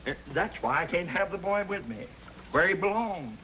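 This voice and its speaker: An actor playing a boastful, excitable old prospector grandfather with a folksy American drawl in a 1950s television western. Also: high-pitched, normal speed, a little hiss or hum, rough recording. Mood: defensive. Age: older. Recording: old TV soundtrack with background music.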